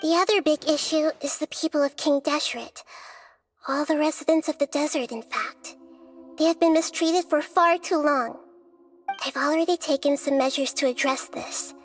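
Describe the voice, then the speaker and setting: A woman voicing a small, childlike but wise goddess in a video game, speaking in a gentle, measured, formal way. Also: sits high, steady tone, soft background music, close to an everyday voice. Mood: thoughtful, earnest.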